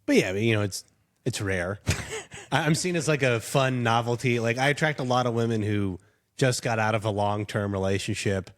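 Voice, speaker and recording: A man's voice: mid-range voice, expressive, moderate pace. A young adult man, a podcast guest, talking in a rambling, casual way with lots of fillers and self-deprecating asides. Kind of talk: casual chat.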